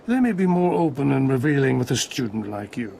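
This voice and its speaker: An actor playing a stern, suspicious Jedi master in a video game, formal, pompous and moralizing. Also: male voice, mid-range voice, animated, a little fast, vocal fry, darkish tone, a little hiss or hum. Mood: grave, admonishing.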